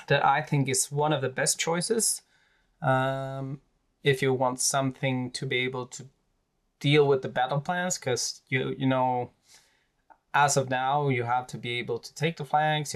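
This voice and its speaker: A man with a Swedish accent, a native Swedish speaker, talking casually through tabletop army lists with many pauses and fillers. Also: medium pitch, fairly even intonation. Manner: hesitant, many fillers and restarts. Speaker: tabletop wargaming creator.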